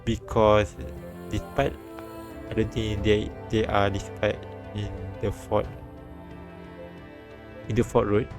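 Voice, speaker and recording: A man reading a visual novel aloud on a live stream, with halting restarts and bits of commentary. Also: lowish voice, little pitch movement. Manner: halting, repeats and restarts lines. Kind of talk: live read-aloud translation and narration with commentary. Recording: background music behind the voice.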